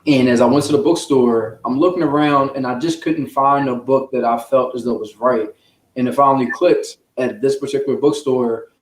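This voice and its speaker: A man's voice: mid-range voice, fairly even intonation, normal speed, slight background noise. A man from the United States answering interview questions as a guest author, conversational, earnest and explanatory. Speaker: guest, children's author and sports journalist. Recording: echoey room sound.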